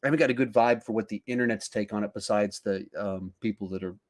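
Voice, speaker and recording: A man hosting a podcast in casual discussion, acting out his reactions in animated asides. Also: on the low side, fast talker. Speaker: podcast host.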